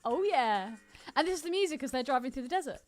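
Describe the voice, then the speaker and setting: A woman with a high voice that swings up and down as she talks. She co-hosts a casual podcast about a film and is exclamatory and sweary. Faint music sits behind her. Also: expressive, quick pace. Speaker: podcast co-host.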